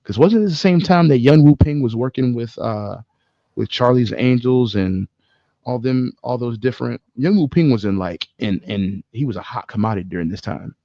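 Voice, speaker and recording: A man's voice: medium pitch, expressive, moderate pace, warm tone, dry recording, muffled recording. A man podcast co-host chatting casually, opinionated and emphatic, swearing freely as he makes his points. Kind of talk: casual chat about video game movies.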